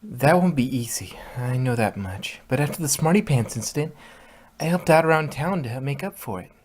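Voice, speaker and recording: A woman playing an earnest, bookish, slightly anxious young mare who speaks haltingly in a fan fiction audio drama. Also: a bass voice, animated, darkish tone, slight background noise.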